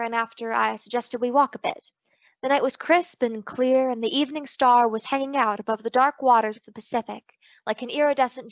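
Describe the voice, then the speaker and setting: A woman's voice: on the high side, a bit bright, no room sound, lo-fi sound. A woman playing a wisecracking female private detective who narrates her case in slangy old-time radio style. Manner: wry, slangy hard-boiled storytelling.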